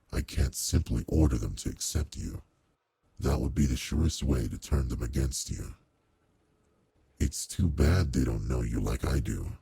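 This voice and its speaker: A man plays a courteous but commanding demon lord in an intimate audio roleplay. His voice is extremely low and very husky, with a dark, mellow color. He speaks in measured, formal phrases, like a boss who quietly cares. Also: no room sound, full character voice. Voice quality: very deep, gravelly, husky. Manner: measured, formal, authoritative with soft concern. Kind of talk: roleplay audio drama, intimate one-to-one address.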